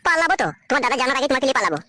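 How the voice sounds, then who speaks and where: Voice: sits high, sing-song, very fast, sharp tone, heavily acted. Actor doing a lovesick young cartoon suitor. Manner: sentimental, pleading declarations. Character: lovesick, earnest young married man wooing his sweetheart. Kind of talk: comic cartoon dubbing.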